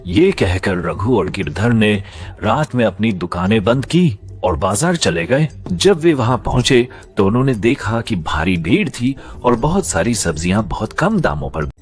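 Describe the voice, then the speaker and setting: Animated low-voiced male storyteller. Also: a little slow. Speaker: narrator. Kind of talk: scripted cartoon story narration. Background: faint music.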